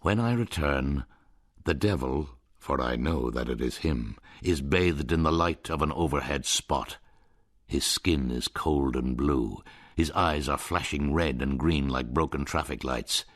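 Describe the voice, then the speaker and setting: A man narrating a radio drama in the first person, measured and brooding. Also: rumbling low.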